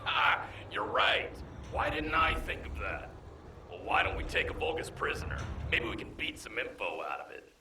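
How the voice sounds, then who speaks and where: An actor playing a brash, overconfident, scheming male soldier in a video game, animated and gravelly. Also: on the high side, normal speed. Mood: eager. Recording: distant, roomy, faint noise.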